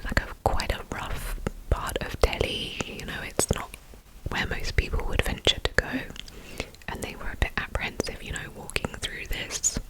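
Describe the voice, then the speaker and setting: A woman whispering a true crime story for ASMR, slightly nasal and a little congested from a recent illness, chatty with small asides. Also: low-quality recording. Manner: soft unvoiced whisper, chatty with "you know" asides. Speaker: ASMR channel host.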